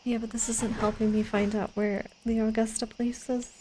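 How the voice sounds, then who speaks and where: A woman's voice: fairly high pitch, flat delivery, moderate pace, darkish tone, a little hiss or hum, poor audio. A young woman streaming a game, chatting and giggling as she rambles about what she sees. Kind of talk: casual gameplay commentary.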